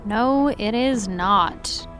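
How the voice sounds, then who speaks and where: A woman playing a slow, weary young woman. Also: mid-range voice, soft background music. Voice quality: flat, deadpan.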